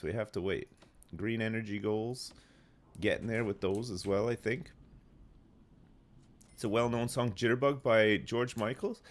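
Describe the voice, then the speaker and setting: A man in his thirties streaming a game live, phlegmy and rambling as he answers chat. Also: mid-range voice. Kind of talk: casual live gameplay chat.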